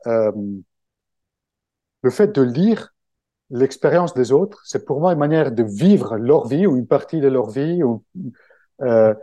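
A man from Italy speaks French with an Italian accent in a relaxed interview, pausing often on fillers and restarting his sentences. His voice is mellow and dark, and the sound is dry and close with no room echo. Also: on the high side. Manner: hesitant, many fillers and restarts. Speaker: guest, book-summary creator. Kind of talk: casual interview conversation.

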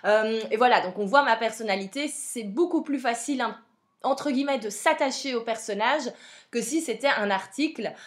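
A young woman with a Belgian French accent hosting a podcast, chatty and frank with plenty of fillers. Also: on the high side. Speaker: podcast host. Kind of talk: casual advice podcast.

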